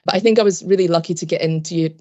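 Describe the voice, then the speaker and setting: A young woman from Japan, raised in Scotland, with Japanese as her first language, talking as a podcast guest in a reflective, measured way that often hedges. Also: fairly low pitch, warm tone, highs cut off. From Japan, raised in Scotland from age ten. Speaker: podcast guest, PhD student. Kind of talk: casual interview talk.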